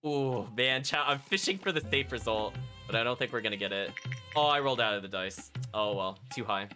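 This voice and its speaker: A man's voice: mid-range voice, expressive, brisk, sharp tone. A man giving casual live commentary as a video game streamer, thinking aloud and chatting to his viewers. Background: game music.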